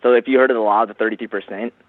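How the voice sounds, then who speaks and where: A man on a phone, giving a casual, slangy, persuasive sales pitch peppered with man and bro. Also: fairly even intonation, rapid-fire, dry recording. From San Francisco Bay Area, USA. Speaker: phone sales representative for a self-help program. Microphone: telephone. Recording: tinny narrowband phone line.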